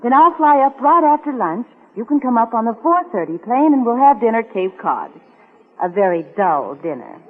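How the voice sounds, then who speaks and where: An actress playing a sardonic, insistent and teasing fiancée in a 1940s radio drama. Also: female voice, on the high side, big pitch swings, moderate pace. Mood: dry, teasing. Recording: muffled tinny 1940s radio recording.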